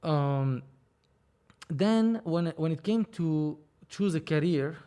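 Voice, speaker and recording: A man, a podcast guest telling his story in a casual, animated, rambling way with many restarts. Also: on the high side, slow pace. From Lebanon. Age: 30s. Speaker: film producer, podcast guest.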